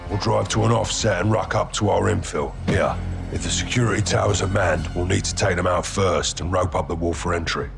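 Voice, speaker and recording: A man playing a gruff, guarded special forces lieutenant in a video game cutscene, speaking in terse commands. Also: low-pitched, steady tone, fairly quick, over music. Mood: tactical, commanding.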